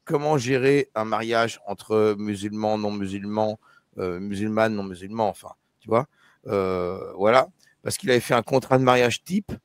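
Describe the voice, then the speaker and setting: A man's voice: lowish voice, moderate pace, smooth, no room sound. A man hosting a casual live discussion, talking with many hesitations and restarts. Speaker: YouTube live host.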